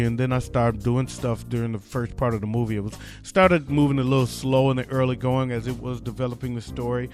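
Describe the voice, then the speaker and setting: Chatty joking male podcast host. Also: medium pitch. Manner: chatty and joking, many "uh" fillers. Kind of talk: casual solo news commentary.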